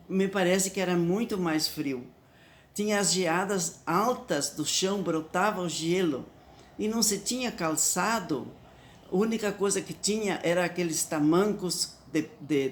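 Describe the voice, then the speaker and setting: A woman in her late eighties with a Talian-influenced Brazilian accent, whose first language is Talian, telling her memories slowly and reflectively, often restarting words. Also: on the low side. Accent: Italian-Brazilian Talian-influenced Portuguese. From southern Brazil. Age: 80s. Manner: slow, reflective, with frequent restarts. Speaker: storyteller. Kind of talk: personal memoir.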